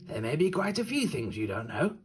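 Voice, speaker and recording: A man voicing the last wolf in a children's storybook, a courteous, world-weary wild animal with polite, measured speech. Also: a little high, very animated, breathy edge, voice pushed up, full character voice. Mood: welcoming.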